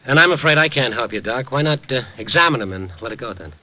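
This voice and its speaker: A man's voice: mid-range voice, lively intonation, fast talker, bright, forceful tone. An actor playing a wry, skeptical younger newspaperman in a 1950s radio drama, informal and slangy. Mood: impatient. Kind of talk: 1950s radio drama acting with first-person narration.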